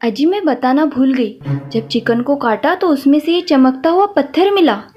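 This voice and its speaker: A woman plays a chatty housewife in a children's cartoon tale. Her voice is high-pitched. The recording is a little dull and lo-fi. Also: normal speed, close to an everyday voice. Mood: matter-of-fact. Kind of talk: children's cartoon story dialogue. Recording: dull, lo-fi sound.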